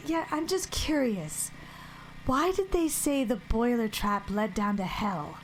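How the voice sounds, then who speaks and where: A woman's voice: on the high side, lively intonation, slow, slight background noise, low-quality recording. An actress playing a troubled, haunted woman in an audio drama, hesitant yet pressing with her questions. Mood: insistent, anxious. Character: troubled, haunted woman recovering from addiction, insistent and uneasy.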